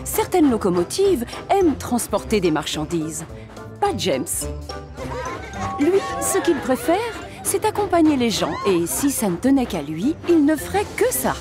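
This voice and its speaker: A woman narrating a children's cartoon story in an expressive, scripted storytelling style. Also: fairly high pitch, a little slow. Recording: music under the narration.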